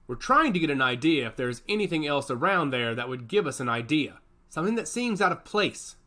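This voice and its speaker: A man voicing a tense young surveillance operator who speaks slowly and hesitantly. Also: on the high side, sing-song, bright, forceful tone. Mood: brisk. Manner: hesitant, slow.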